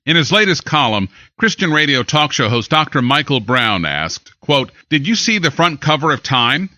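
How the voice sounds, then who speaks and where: Bright, animated American male news anchor. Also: a little high, normal speed, dry recording. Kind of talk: scripted news narration.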